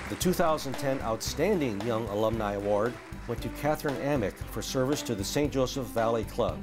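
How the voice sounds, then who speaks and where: A man's voice: mid-range voice, lively intonation, normal speed, over music, crowd noise. A man reading scripted narration as the narrator of a university newsreel.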